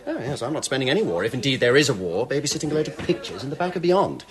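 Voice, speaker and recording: An actor with an English accent playing a pompous, sardonic, snobbish wartime art curator in a period audio drama. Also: male voice, medium pitch, very animated, racing, crowd noise, natural voice. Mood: haughty. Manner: haughty, sneering, theatrical.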